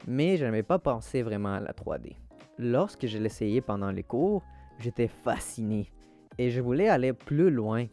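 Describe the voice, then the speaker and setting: Young man, mellow tone, scripted personal narration, faint music underneath. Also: medium pitch, expressive, normal speed. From abroad, immigrated to Canada. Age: young adult. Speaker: art school applicant presenting himself.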